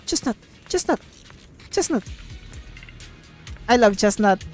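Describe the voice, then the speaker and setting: A woman streaming a video game, chatting and reading dialogue aloud in an excitable, gushing way. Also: fairly high pitch, slow pace. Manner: gushing and excitable, exclaims and repeats herself. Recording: background game music. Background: game music.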